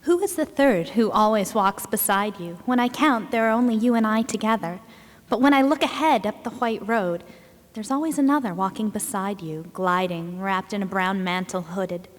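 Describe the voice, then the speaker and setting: Female reader, solemn and deliberate, dramatic verse recital, medium pitch, long hall reverb.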